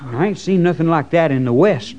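An actor playing a loyal, plain-spoken young American cavalry trooper with folksy rural speech, in an old radio western with dull sound. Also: male voice, on the high side, animated, brisk, dark tone, slight background noise, lightly acted. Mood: matter-of-fact.

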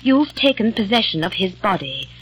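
A woman in a 1940s radio drama playing a sharp, suspicious young eighteenth-century lady who speaks in an accusing, clipped way. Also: medium pitch, big pitch swings, moderate pace, husky, low-quality recording.

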